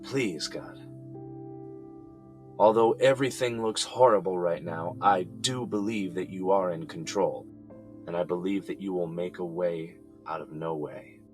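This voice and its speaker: Man acting jailed son, deep voice, slow halting prayer, earnest, sighs, music bed behind. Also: darkish tone. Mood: pleading. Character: adult son jailed, praying for release. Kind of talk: audio drama acting. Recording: music under the voice.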